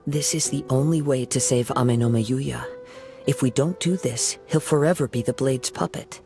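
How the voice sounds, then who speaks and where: A calm, perceptive young wandering samurai, voiced by a man speaks in a measured, soothing voice. It is mid-pitched with a light gravelly edge, and faint game music hums behind him. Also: natural voice. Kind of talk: video game voice acting.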